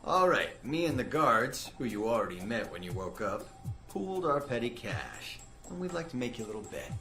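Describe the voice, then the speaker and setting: A smug, cocky agency trainer from a spy video game. He teases and goads with very lively, swinging intonation. The sound is roomy, with music behind it. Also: medium pitch, normal speed. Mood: cocky, goading. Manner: cocky, teasing. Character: cocky male agent trainer, taunting and smug.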